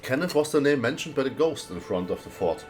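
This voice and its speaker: A man's voice: medium pitch, animated, brisk, over music. A man giving casual, chatty and irreverent commentary as he plays a video game. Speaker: gaming streamer.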